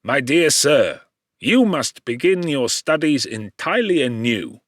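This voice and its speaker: A gruff, scornful professor of science, acted out by a male audiobook narrator, scolds with pompous heat. He stresses his words emphatically at a middling pitch. The sound around him is dry. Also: sing-song, unhurried, no echo. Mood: heated scolding.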